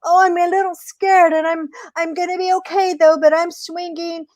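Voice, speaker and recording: A woman's voice: very high voice, flat delivery, normal speed, a little mellow, no room sound, studio quality, pitched above the performer's own voice. A woman voicing a gullible, easily alarmed coyote in a spoken folk tale, with a plaintive, exclaiming delivery. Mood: scared.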